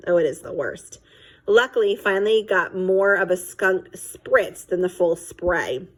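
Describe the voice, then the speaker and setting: A woman's voice: medium pitch, low-quality recording. A woman reading a children's book aloud expressively, acting out dialogue and chatting casually to young listeners between passages. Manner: animated, acts out dialogue and sound effects.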